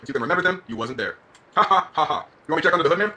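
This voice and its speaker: Southern gas attendant, male actor: folksy joker, rambling at great speed, bright edge, some noise on the recording. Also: on the high side, animated, rapid-fire. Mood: folksy, chatty. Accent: put-on American Southern. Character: drawling Southern gas station attendant, restless, joking, folksy man. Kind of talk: dramatic scene acting.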